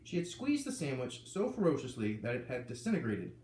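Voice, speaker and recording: A man reading a children's novel aloud, lively and putting on voices for the characters. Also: mid-range voice, a little fast, far from the mic. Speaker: reader. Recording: echoey room with faint ambient noise.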